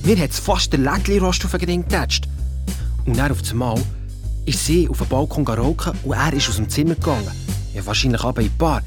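A man's voice: medium pitch, lively intonation, fairly quick, music in the background, close to an everyday voice. An actor playing an impulsive, cheeky young boy with a Swiss German accent, telling his adventure in an audio drama. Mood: excited, breathless. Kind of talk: colloquial first-person storytelling in an audio drama.

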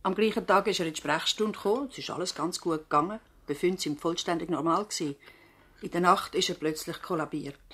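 A doctor's long-serving assistant and housekeeper in a radio play. Her voice is slightly low, the talk polite and plain, with a Swiss German accent. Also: quick pace, fairly bright tone, breathy edge. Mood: conversational. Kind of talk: radio drama acting, conversational.

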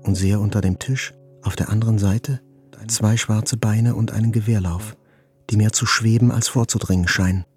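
An actor playing a wounded survivor, narrating in a measured, literary way as in a radio play. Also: male voice, fairly low pitch, fairly even intonation, a little mellow, soft background music, lightly acted. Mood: grave, tense. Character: wounded male survivor narrating a terror attack, intense, inward.

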